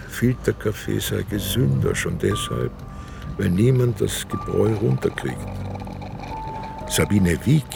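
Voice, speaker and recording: An actor playing a dry, easily amused middle-aged police inspector in a naturalistic radio drama, speaking conversationally with some hesitation. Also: male voice, low-pitched, gravelly, heavily acted. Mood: reflective, wry. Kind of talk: naturalistic German radio drama, with inner monologue.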